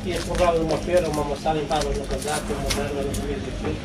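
A man's voice: fairly high pitch, level tone, rapid-fire. An older man, chatting and reminiscing over coffee at a café counter. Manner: reminiscing, chatty, trailing repetitions. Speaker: café customer. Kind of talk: casual chat. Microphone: body camera at the counter. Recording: echoey café, distant, loud background noise. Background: café clatter and traffic.

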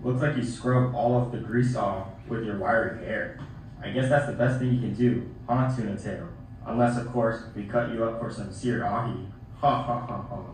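A man's voice: fairly low pitch, fairly even intonation, moderate pace, lightly acted. A man voicing a mocking, boastful schoolboy bully in an amateur puppet show. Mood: taunting. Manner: taunting, sneering. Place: theater, camera far from puppet stage. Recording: distant echoey theater recording, faint noise.

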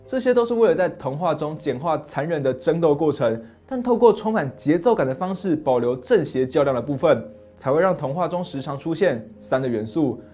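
A man in his thirties narrating a fairy tale and explaining it, steady and expressive. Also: a little high, brisk, faint music behind. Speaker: storyteller host. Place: dim study set, indoors. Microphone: lapel mic.

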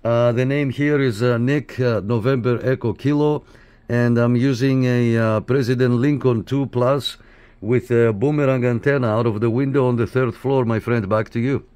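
A man's voice: mid-range voice, fairly even intonation, moderate pace, darkish tone, clear, resonant tone. A man making casual CB radio contact, friendly and often repeating his phrases. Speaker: CB radio operator and video maker. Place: indoor room at a radio desk.

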